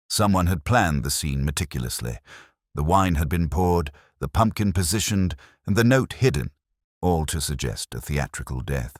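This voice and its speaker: Detective narrator for mystery audio drama, male actor: bass-deep, patient, grave and methodical, recorded close and dry. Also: no echo, full character voice. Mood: brooding, precise. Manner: measured, deliberate, grave. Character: brooding male detective inspector, methodical, literary, patient, solemn. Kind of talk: scripted first-person mystery narration.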